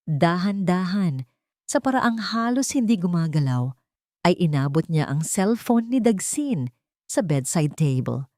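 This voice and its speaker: Woman reading a drama audiobook, lowish voice, dry close sound, measured with dramatic pauses. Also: very animated, darkish tone, no room sound. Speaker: audiobook narrator. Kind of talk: dramatic scripted story narration.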